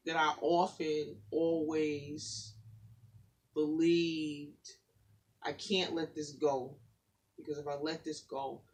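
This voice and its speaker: A woman poet who talks softly and haltingly in a faith-centered chat, then reads her own poem in a rhythmic, declamatory spoken word style. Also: sits low, flat delivery, slightly rough. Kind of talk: spoken word poetry reading in a casual faith conversation.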